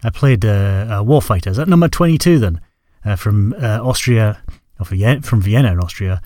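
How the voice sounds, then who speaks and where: A middle-aged Scottish man hosting a music show in casual chat, his voice a little croaky, talking in a relaxed, hesitant way. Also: on the low side, normal speed, dry recording, studio quality. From Scotland. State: slightly croaky voice. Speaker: radio show host.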